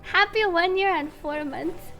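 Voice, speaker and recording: A woman with a very high, bright voice streams a game. She chats casually and reads dialogue aloud with playful expression. Light music sits under her voice. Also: a little slow. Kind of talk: casual stream chat, reading subtitles aloud.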